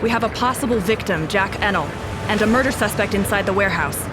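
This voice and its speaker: An actress playing a determined, serious police agent in an audio drama, terse and intent. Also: female voice, mid-range voice, quick pace, heavy background noise, natural voice. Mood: urgent.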